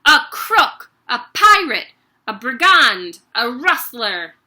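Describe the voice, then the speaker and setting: A woman voicing a huge, bullying headmistress who barks and shouts insults at a pupil. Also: a little high, normal speed. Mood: raging.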